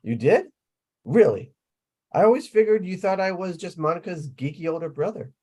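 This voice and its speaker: A man's voice: high-pitched, lively intonation, normal speed, warm tone. A man playing a geeky, vulnerable young older brother, awkward, hesitant and hopeful, in a sitcom table read. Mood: nervous, hopeful.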